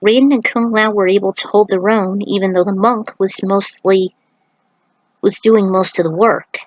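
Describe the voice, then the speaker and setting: A woman's voice: medium pitch, fairly even intonation, normal speed, no room sound, dull audio. A woman reading an action fantasy story aloud as a narrator, in a dramatic scripted style.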